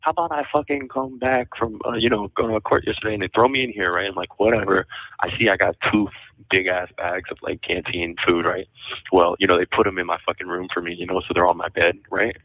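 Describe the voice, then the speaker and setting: Deep-voiced man on a tinny phone line. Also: steady tone, fast talker, no echo. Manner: slangy, swearing. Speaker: jail inmate on a phone call. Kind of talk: casual flirtatious phone chat. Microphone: telephone handset.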